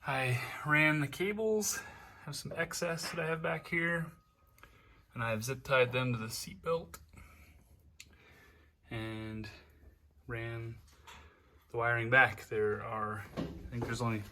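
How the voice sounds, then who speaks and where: A man giving a casual, hands-on how-to walkthrough as an amateur, talking haltingly as he pieces his sentences together while he works. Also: medium pitch, bright, forceful tone, a little hiss or hum. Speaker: amateur DIY how-to video maker.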